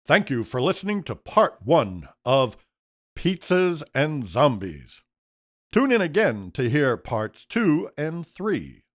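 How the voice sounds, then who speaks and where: Unhurried, expressive male narrator. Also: fairly high pitch. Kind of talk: scripted radio play narration. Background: background music.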